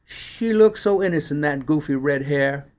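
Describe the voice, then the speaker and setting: A man's voice: on the high side, normal speed, darkish tone, pitched above the performer's own voice, lightly acted. A man voicing a portly, robbed pawn shop owner in a story reading, agitated and complaining. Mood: rueful, annoyed. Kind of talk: acted dialogue in a story reading.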